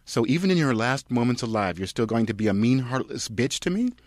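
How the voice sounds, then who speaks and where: Man playing an anxious woman, slightly raised pitch. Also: very animated, fairly quick, no room sound, natural voice. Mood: pleading. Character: exasperated, anxious woman, pleading sister and wife.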